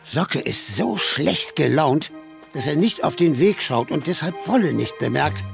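A man with a gravelly voice and a standard German accent, narrating a children's story in an animated way. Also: on the high side, a little slow, over music.

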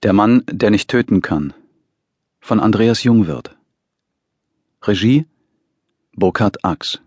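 Slow, husky, low-voiced male announcer. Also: lively intonation, darkish tone, dry recording. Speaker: announcer of title and credits. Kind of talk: scripted radio drama announcement.

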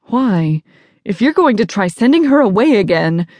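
A woman's voice: mid-range voice, sing-song, normal speed, darkish tone, no room sound, voice pushed up. A woman voicing an earnest, defensive teenage boy in an audiobook, somewhat hesitant in manner. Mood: demanding. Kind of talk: audiobook character dialogue.

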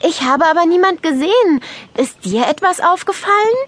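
A woman's voice: very high-pitched, moderate pace. A woman playing an eager, chatty young schoolgirl who loves ponies in a children's audio story. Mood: uneasy, insistent. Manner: excited, expressive, exclaiming.

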